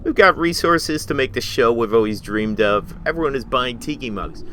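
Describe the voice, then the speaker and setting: A man's voice: mid-range voice, lively intonation, normal speed, pushed and bright, a little hiss or hum. A man playing a casual, bewildered podcast colleague in an audio drama, swearing and unsure. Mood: wavering. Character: bewildered easygoing male podcast producer.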